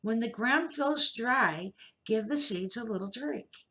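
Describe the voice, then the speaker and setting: A patient woman schoolteacher from a children's picture book, voiced by an older woman reading aloud. She instructs gently and encouragingly. The voice is bright and clear-cut. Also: on the high side, expressive, slow, voice pushed up, full character voice. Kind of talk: children's storybook character reading.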